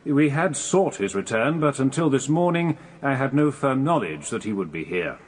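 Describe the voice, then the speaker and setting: A man's voice: mid-range voice, very animated, normal speed, husky. A man playing a polite, slightly hesitant British defence barrister in a radio courtroom drama, formal and probing. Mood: deferential. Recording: reverberant courtroom-like hall.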